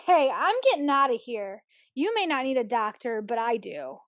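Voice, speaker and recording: A woman's voice: fairly high pitch, big pitch swings, moderate pace, no echo. A woman playing a nervous, easily startled, stammering small-town bridge keeper in a radio play. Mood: alarmed. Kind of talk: 1940s-style radio play acting.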